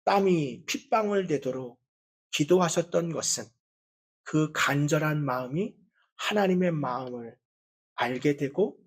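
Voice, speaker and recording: A male pastor giving a Sunday sermon in an earnest, measured way, with a voice he calls not strong and easily strained. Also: on the high side, expressive, slightly rough. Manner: earnest, with measured pauses and frequent fillers.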